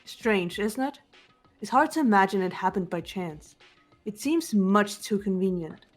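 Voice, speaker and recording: Woman voicing a calm, reserved teen detective girl. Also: mid-range voice, unhurried, soft background music. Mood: pensive. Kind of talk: fan voice acting of a game.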